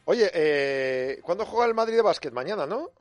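A man's voice: on the high side, animated, no room sound. A man hosting a radio show, chatting casually and joking with his guests from the studio. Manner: chatty, joking, quick back-and-forth. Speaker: radio host. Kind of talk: casual radio chat and interviewing.

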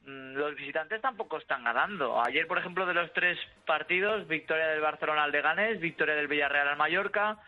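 A male sports journalist calling in, rattling off fixtures and results with some hesitation. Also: a little high. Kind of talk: sports news chat. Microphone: telephone line. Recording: tinny phone line.

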